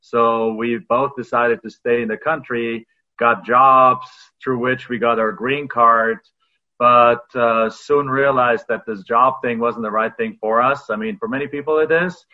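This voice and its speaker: A man's voice: medium pitch, fairly even intonation, measured pace. German-accented guest in his 40s, reflective storyteller, phone-quality audio. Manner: reflective, many fillers and restarts. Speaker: podcast guest. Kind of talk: casual interview storytelling.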